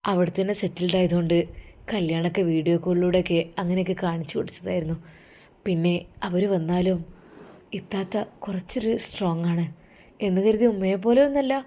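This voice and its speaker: A woman voicing a gentle young husband who speaks softly and comfortingly to his wife. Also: moderate pace, pauses often, natural voice. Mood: soothing. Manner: soft, low and comforting.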